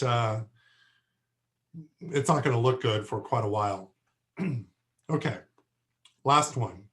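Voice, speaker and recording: A male horticulture instructor lecturing slowly and unhurriedly at an ordinary pitch. His voice is going hoarse, and he keeps pausing to clear his throat between halting phrases full of fillers. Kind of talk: lecture to a class.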